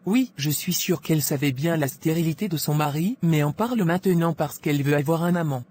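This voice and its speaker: A woman's voice: low voice, a little fast, darkish tone, breathy edge. A woman playing a gossipy, scheming pharmacy employee in a cartoon drama, insinuating and self-assured, with faint noise. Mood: smug. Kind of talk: animated moral drama dialogue.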